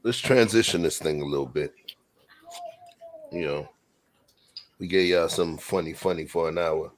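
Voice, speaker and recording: A man's voice: deep voice, normal speed, low-quality recording. An American man hosting an unscripted show with slangy, playful, teasing banter. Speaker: host of an online reaction show.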